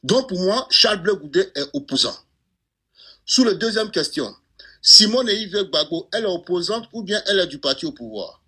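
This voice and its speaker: Bright husky man asking pointed questions. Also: high voice, sing-song, normal speed. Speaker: questioner in a debate. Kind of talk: casual debate talk.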